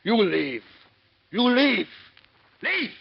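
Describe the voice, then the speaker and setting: An actor playing a hearty, excitable French immigrant mill worker, with a French accent and emphatic broken English, in 1950s radio style. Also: male voice, extremely high pitch, very slow, vocal fry, breathy edge, heavily acted. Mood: hurt, angry. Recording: muffled old radio recording.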